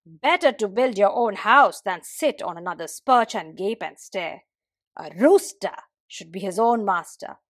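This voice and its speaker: A woman's voice: mid-range voice, expressive, a little slow, fairly bright tone, no room sound, studio quality. A woman voicing a proud, confident rooster in a folktale, speaking emphatically in proverbs. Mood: proud, assertive.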